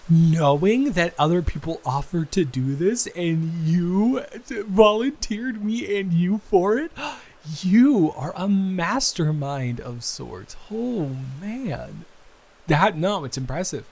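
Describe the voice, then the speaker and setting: A man playing a flustered, smitten young man in an improvised romantic audio roleplay, speaking haltingly and conversationally. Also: sits high, lively intonation, a little mellow, full character voice. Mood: amazed, teasing. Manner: halting, flustered, with sighs, gasps and laughs.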